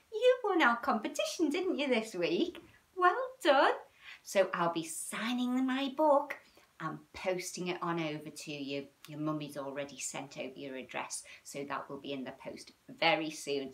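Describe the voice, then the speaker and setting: A British woman in her fifties talks to young children as a storytime host. Her pitch swoops up and down in a sing-song, animated way. She speaks chattily and often turns statements into little questions for her listeners. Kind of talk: chatty, child-directed livestream talk. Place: small room at home, indoors.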